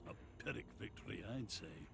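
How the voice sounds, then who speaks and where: A man playing a stern, brooding military commander in a video game, cold, measured and formal. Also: over loud music, close to an everyday voice. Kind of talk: video game cutscene acting.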